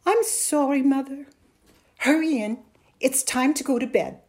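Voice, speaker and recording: A woman's voice: a little high, animated, moderate pace, darkish tone, voice pushed up, natural voice. A woman voicing a weary, scolding, impatient widowed mother in a story, stern and emphatic.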